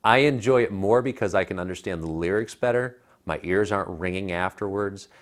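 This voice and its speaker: A low-voiced American man in his thirties chats as a tech reviewer. He talks casually and colloquially, pressing his recommendations with emphasis. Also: a little fast. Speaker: tech reviewer, show co-host. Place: living room at home. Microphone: clip-on lapel mic.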